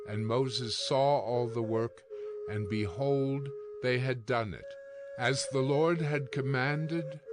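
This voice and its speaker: A man narrating scripture from a script in a measured, solemn reading manner. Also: mid-range voice. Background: music.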